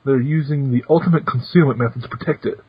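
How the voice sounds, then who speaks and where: A man voicing a genial, teasing retired old council chairman in a fan podcast read-through, with playful, drawn-out pauses. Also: medium pitch, husky, no echo. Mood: gentle. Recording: muffled, dry lo-fi recording.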